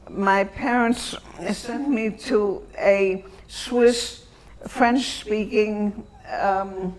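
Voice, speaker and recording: An elderly woman from Antwerp whose first language is German, reminiscing in an interview and searching for her words with long pauses. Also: medium pitch, low-quality recording. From Antwerp, Belgium.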